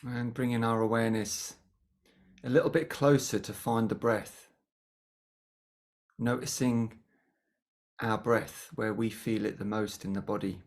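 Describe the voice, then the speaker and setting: A meditation teacher leading a guided practice in a gentle, unhurried manner with long pauses between phrases. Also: medium pitch, fairly even intonation.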